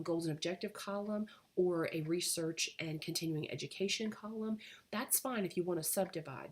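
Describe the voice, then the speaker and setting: A woman course instructor recording class reminders, her voice husky as she gets over a cold, talking with many fillers. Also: fairly low pitch. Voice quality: husky, fading voice. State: getting over a cold, coughing.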